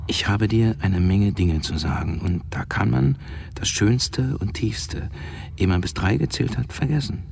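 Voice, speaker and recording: An actor playing a proud, sentimental middle-aged headmaster in a radio drama, gravelly, effusive and halting, both tender and imperious. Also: male voice, low-pitched, full character voice. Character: proud, sentimental fallen headmaster, older, confiding yet domineering. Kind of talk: literary radio drama acting.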